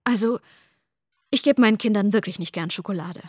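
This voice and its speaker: A woman's voice: medium pitch, fairly quick, darkish tone, breathy edge, no echo, clean studio sound, natural voice. An actress playing a frazzled mother telling her story in a wry, self-justifying monologue in a radio play. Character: frazzled middle-class mother.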